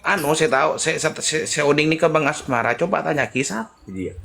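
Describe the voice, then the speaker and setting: A man's voice: fairly high pitch, fairly quick, vocal fry, bright, forceful tone. A man chatting casually in an online group, with scattered, repetitive phrasing. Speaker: participant in an online group chat. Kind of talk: casual chat. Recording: noisy online voice chat with clicks.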